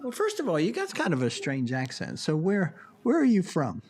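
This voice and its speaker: A man hosting an interview, curious and animated, asking questions with frequent restarts. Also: a little high, normal speed, breathy edge. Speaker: interview host. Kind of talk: remote interview conversation.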